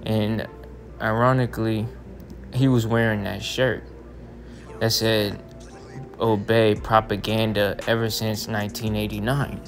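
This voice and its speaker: Gravelly-voiced young man, rambling. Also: on the low side, little pitch movement, a little slow, long pauses, faint music behind. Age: 20s. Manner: meandering, repeats phrases, frequent restarts. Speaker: religious vlogger. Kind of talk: rambling monologue over still images. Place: voice-over, not in pictured scene.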